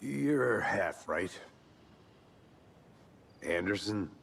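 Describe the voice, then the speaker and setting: An actor playing a gloomy veteran federal agent, gravelly and deadpan, in a cinematic video game. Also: male voice, sits low, extremely slow, a little hiss or hum, full character voice. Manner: deadpan, terse. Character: brooding, gloomy male veteran federal agent with dry humour. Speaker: FBI agent.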